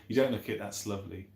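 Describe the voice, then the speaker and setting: A man's voice: on the low side, vocal fry, darkish tone. A man in his fifties hosting a casual live stream, chatting in a rambling, chummy way as he answers viewers. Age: 50s. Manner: rambling, chummy, thinking aloud. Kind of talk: casual chat, reading out and answering viewer comments. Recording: roomy echo.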